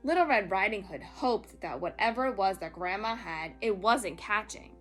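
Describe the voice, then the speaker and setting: A woman storyteller reading a children's tale in an expressive, dramatic, emphatic style. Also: medium pitch, moderate pace, soft background music.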